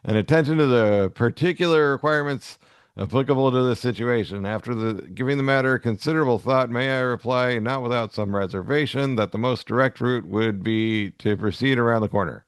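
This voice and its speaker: A man's voice: medium pitch, brisk, clear, resonant tone, dry recording, studio quality. A man co-hosting a podcast in an easygoing way, chatting casually and reading comic lines aloud. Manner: easygoing, chuckles often. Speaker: podcast co-host.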